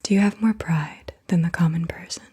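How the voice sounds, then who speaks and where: Whispering, breathy woman doing soft ASMR. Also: fairly low pitch, brisk. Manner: hushed, gentle delivery. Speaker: ASMR host. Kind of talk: soft-spoken ASMR, reading out questions. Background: computer keyboard typing.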